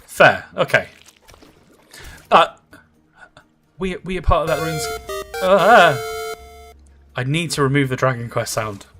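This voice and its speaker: A man's voice: on the high side, animated, slow, pauses often, over music. A man in his thirties chatting casually with viewers while he livestreams a game, rambling with lots of fillers and answering comments. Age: 30s.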